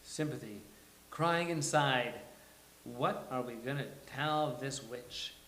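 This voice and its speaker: A man's voice: mid-range voice, slow, bright, forceful tone. A man playing a sardonic, put-upon lawyer in a live sitcom table read. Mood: exasperated. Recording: echoey room, hissy background.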